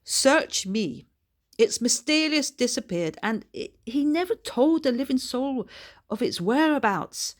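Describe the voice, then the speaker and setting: A woman's voice: high voice, lively intonation, a little slow, pitched above the performer's own voice. A woman voicing a hearty, folksy older female adventurer in a storybook, with a lilting dialect and an affectionate, exclamatory manner. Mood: weary, cheerful.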